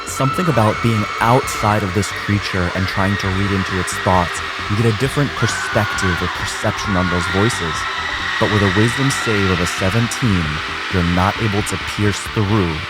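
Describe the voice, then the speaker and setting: A man's voice: sits low, moderate pace, loud music behind the voice, poor audio. A man acting as game master, narrating a tabletop horror game with vivid, gory descriptions.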